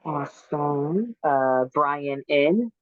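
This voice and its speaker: A woman's voice: low voice, expressive, extremely slow, no echo, phone-quality sound. A woman hosting a dating show, calling on guests one by one with short cheerful acknowledgements.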